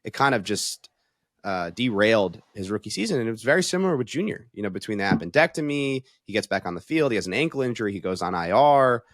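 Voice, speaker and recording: Low-voiced man talking football. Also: animated, fast talker, no echo, clean studio sound. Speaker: football analyst. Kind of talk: casual sports panel talk.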